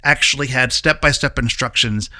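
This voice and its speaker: Bright-voiced man hosting a casual interview. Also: medium pitch, normal speed, no room sound. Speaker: podcast host.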